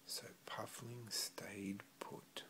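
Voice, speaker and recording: A man reading a children's story aloud expressively, with gentle, deliberate phrasing. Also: on the low side, little pitch movement, whispered, rough recording. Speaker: storybook reader.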